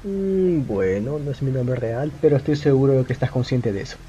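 A man with a Latin American Spanish accent playing a calm, cunning young detective in an amateur film dub, deliberate and accusatory. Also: medium pitch, muffled recording, slight background noise, natural voice. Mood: cool, probing. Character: calm, cunning young male detective confronting his suspect. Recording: music under the dialogue. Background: background music.

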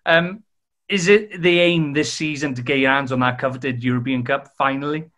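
A man's voice: medium pitch, steady tone, normal speed, a bit bright. A young man hosting a podcast, asking long, chatty questions over a video call. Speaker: podcast host. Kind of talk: interview questions. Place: room at home, indoors.

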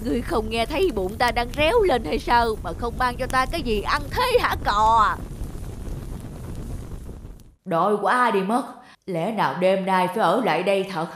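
A woman voicing a bossy, impatient, grumbling stout older man in an animated folk tale. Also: fairly high pitch, normal speed, slight background noise. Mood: demanding. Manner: impatient, scolding, complaining. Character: grumpy, bossy, stout older male master.

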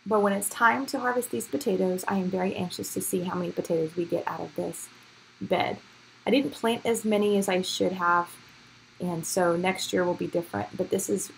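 A woman's voice: on the low side, vocal fry, fairly bright tone, husky, low-quality recording. A woman giving a casual, upbeat, chatty tour as a vlog host, speaking directly to viewers outdoors into a handheld camera. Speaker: host of a home gardening vlog. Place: outdoors in a backyard garden in the evening. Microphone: handheld camera or phone. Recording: outdoor handheld audio with background noise. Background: faint outdoor ambient noise.

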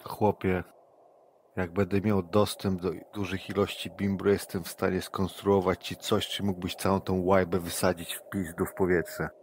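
Man, low-pitched, blunt crude roleplay voice, faint hiss behind. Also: level tone, moderate pace. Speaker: player. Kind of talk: in-character roleplay.

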